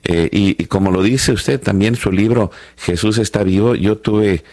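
A man's voice: on the low side, normal speed, a little mellow, no room sound. A man in his fifties with a Mexican accent, talking reflectively and hesitantly as the host of a conversational interview. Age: 50s. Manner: hesitant, reflective, searching for words. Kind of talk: conversational testimony in a panel interview.

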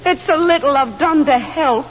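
A woman's voice: high-pitched, normal speed, natural voice. An actress playing a devoted, self-doubting but determined woman in a stage drama, with an earnest, yearning, intense delivery. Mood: wistful, anxious. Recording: dull hall recording with hiss.

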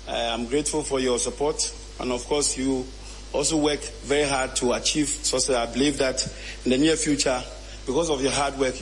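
A man, a football coach giving a slow, earnest thank-you speech. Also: medium pitch, rough recording.

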